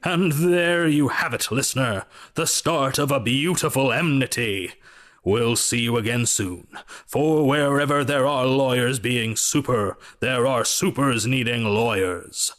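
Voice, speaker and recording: Male narrator for a superhero audio comedy, played by an actor, medium pitch, grand and wry serial-style delivery. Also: unhurried, fairly bright tone, natural voice. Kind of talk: scripted narration, radio-serial sign-off.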